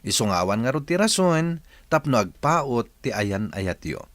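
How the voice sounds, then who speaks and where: A man's voice: mid-range voice, sing-song, unhurried, fairly bright tone, dry recording. A man with a Filipino accent playing an earnest advice host in scripted radio narration.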